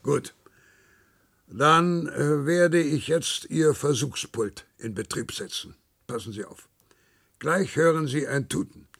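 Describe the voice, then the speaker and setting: An actor playing a meticulous, controlling male instructor in a science-fiction radio play, didactic and condescending, with a husky, creaky voice. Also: fairly high pitch, fairly bright tone, heavily acted. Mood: instructing, insistent. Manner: didactic, hesitant pauses, condescending.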